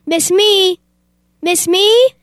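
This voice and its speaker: A young woman playing a fussy, wealthy, oblivious gentleman in an amateur old-time radio play. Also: very high voice, very slow, noisy background, exaggerated voice. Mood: exasperated. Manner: fussy, exclamatory, posh phrasing.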